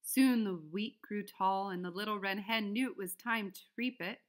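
A woman's voice: mid-range voice, moderate pace. A woman reading a children's story aloud as a narrator. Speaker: storybook reader.

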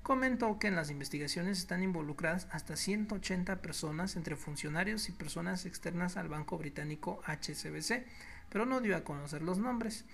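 A man's voice: high voice, fairly quick, creaky, fairly bright tone, slight background noise. A man with a Mexican accent giving an indignant, sarcastic news commentary monologue as a political blogger. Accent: Mexican Spanish. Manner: speaks to listeners as "you all".

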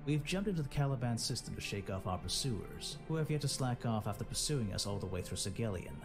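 An actor playing a stern, steady major giving a formal, measured military briefing in a space game. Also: male voice, medium pitch, close to an everyday voice. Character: stern, steady male squadron leader, a major. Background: loud music.

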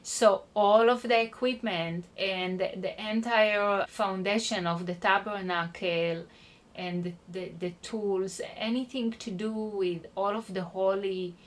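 A woman's voice: medium pitch, steady tone, slow. A woman teaching an online Bible study, explaining patiently and checking in with her listeners. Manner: explanatory, spells out words, checks in with "okay?".

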